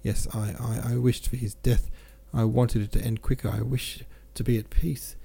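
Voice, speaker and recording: A man reading the part of a grieving, anguished young princess in a novel, distraught and halting. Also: mid-range voice, flat delivery, creaky, mellow, breathy edge. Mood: anguished remorse. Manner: distraught, halting, repeating herself.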